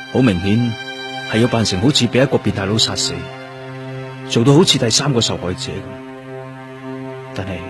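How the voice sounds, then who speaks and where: A man's voice: on the low side, lightly acted. Composed male detective, played by an actor, musical backing. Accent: Hong Kong Cantonese. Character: composed male police detective, methodical and probing. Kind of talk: radio drama acting, questioning and inner monologue.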